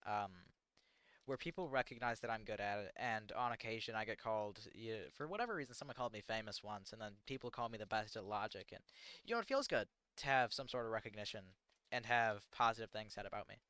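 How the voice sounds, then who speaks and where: Teen American male streamer, bright crisp voice, halting and self-deprecating, dry close mic. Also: fairly low pitch, animated, no room sound. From United States. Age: teens. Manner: halting and rambling, self-deprecating, many fillers. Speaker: livestreamer. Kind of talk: casual livestream chat answering chat questions.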